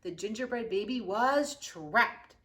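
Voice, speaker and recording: Female children's story reader: bright, mid-pitched, expressive and dramatic, like a library read-aloud. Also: takes it slowly. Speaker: youth services librarian reading aloud.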